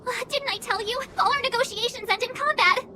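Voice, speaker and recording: A woman's voice: very high voice, brisk, background noise. An actress playing a plucky, earnest, girlish young adventurer in a video game. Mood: exasperated. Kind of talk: animated video game voice acting. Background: game music.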